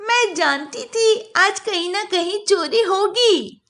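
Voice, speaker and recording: A woman's voice: very high voice, lively intonation, slow pace, fairly bright tone, voice pushed up, full character voice. A woman voicing a smart, earnest little girl who pleads and explains eagerly in a children's story. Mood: secretive.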